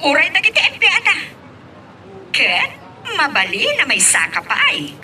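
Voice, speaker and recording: A woman acting a haughty, prying boss's wife in an Ilocano radio drama, sharp and sarcastic in her questioning. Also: high-pitched, huge pitch swings, moderate pace, slight background noise, exaggerated voice. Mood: indignant, sarcastic.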